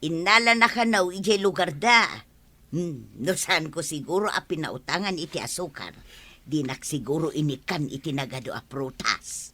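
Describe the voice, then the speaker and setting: A woman's voice: sits low, normal speed, bright, forceful tone. A woman with an Ilocano accent playing a talkative, good-natured village woman in a radio comedy drama. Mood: pleased.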